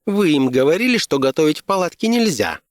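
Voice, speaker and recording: Male company lawyer done by a man reading, audiobook character, higher pitch, assertive, pedantic, cross-examining. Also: very animated, brisk, slight background noise. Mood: insistent. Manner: assertive, interrogating, legalistic.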